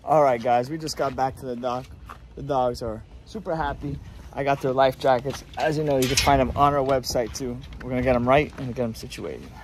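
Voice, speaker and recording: Young male boat vlogger, easygoing and chatty, on an action camera with clear wind and engine noise. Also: mid-range voice, brisk. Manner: relaxed, chatty, talking to his dogs. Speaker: fishing vlogger. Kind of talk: casual vlog narration. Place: small open boat on the water outdoors.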